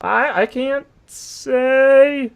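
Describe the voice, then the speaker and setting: A man plays a hapless cartoon horse telling his sad tale in a high voice. He is earnest and nervous, stammering and melodramatic as he reads from an audio comic. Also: drawn-out words, creaky, clean tone, heavily acted. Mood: nervous, pleading. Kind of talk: scripted audio comic narration.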